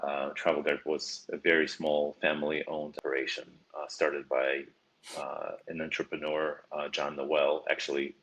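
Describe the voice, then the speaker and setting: A middle-aged man from Russia, a company CEO answering interview questions in a measured way with small restarts. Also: very deep voice, flat delivery, a bit bright, husky, poor audio. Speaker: CEO guest.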